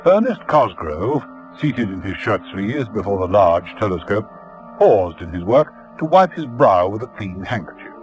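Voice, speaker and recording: A man narrating an old-time radio serial in a measured, dramatic storytelling manner. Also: lowish voice, big pitch swings, dull audio, faint music behind.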